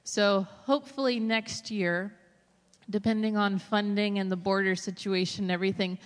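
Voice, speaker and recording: Female missionary speaker, 30s, medium pitch, addressing a congregation in an echoing hall. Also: steady tone, normal speed, clear, resonant tone. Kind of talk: personal testimony to a church congregation. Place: large church hall, over a PA. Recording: echoey hall, long reverb.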